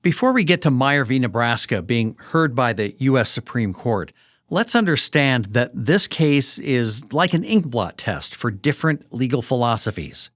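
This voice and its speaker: A man hosting a history podcast, narrating in a clear storytelling manner. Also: mid-range voice, big pitch swings, moderate pace, no room sound, studio quality. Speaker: podcast host. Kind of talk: scripted documentary narration.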